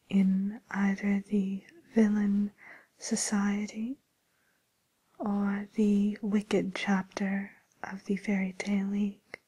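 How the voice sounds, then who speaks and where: A woman playing a polite, attentive receptionist at a fairy-tale dating agency in a soft, breathy, hesitant whisper, as an ASMR roleplay. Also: medium pitch, rough recording.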